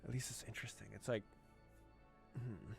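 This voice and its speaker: A man's voice: mid-range voice, moderate pace, gravelly, husky. A man hosting a let's play, commenting casually in slangy asides and reading game text aloud. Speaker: gaming channel host. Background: game music.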